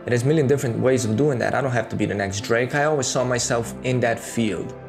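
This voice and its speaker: A man's voice: mid-range voice, quick pace, creaky, over music. A man in his thirties with a Serbian accent, talking candidly to camera in a casual home video, close to a studio mic. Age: 30s. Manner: candid and conversational, with many fillers. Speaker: YouTuber. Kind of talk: casual talking-head monologue. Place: small room at home, indoors. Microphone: studio mic on boom arm, close.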